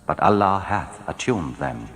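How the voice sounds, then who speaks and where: Low-voiced man solemnly reading scripture, expressive pitch. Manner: measured, declamatory, with falling phrase endings. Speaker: reader of scripture translation. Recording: long hall reverb.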